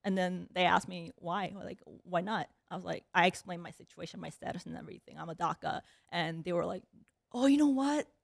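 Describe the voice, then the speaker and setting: A young woman from South Korea speaks as an interview guest in an easygoing, chatty way, full of fillers. Her voice has a slightly gravelly, fry-tinged edge. The recording is dry and close, with no room sound. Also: fairly low pitch, a little fast, dry recording. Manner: chatty, many "like" and "um" fillers, laughs often. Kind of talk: casual interview.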